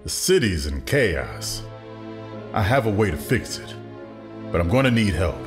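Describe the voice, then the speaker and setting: A man's voice: lowish voice, big pitch swings, measured pace, over music. A man playing a determined, hard-line district attorney in an audio drama, speaking in firm declarations. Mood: determined, indignant.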